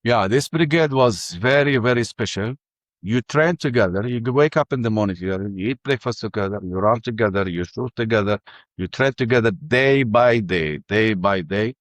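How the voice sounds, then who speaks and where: Older man with an Arabic accent, halting. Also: fairly low pitch, dry recording. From Ramallah. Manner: halting, many restarts and fillers. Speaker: interview guest. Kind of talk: personal testimony.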